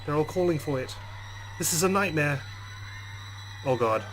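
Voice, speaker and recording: A man's voice: a little high, lively intonation, normal speed, faint music behind, poor audio. A man hosting a let's play, reading a visual novel's text aloud dramatically and adding his own commentary.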